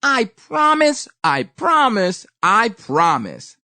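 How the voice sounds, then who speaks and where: A woman's voice: a little high, very animated, pushed and bright, no room sound, voice pushed up, full character voice. A woman acts out a greedy male trickster spider from a storybook. He pleads in a raised, wildly swooping voice and drags out his words with false sincerity. The sound is close and dry. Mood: eager promising. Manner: drawn-out, pleading, insincere delivery.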